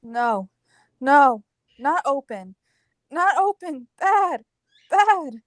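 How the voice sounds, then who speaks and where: Woman playing a timid, very slow-speaking childlike young woman. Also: sits high, animated, no room sound, full character voice. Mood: frightened, insistent. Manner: halting broken English in very short phrases. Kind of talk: 1940s radio play acting.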